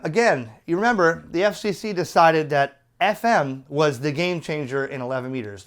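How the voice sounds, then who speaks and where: A middle-aged man giving a casual product review in a chatty, opinionated, colloquial way. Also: a little high. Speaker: radio reviewer.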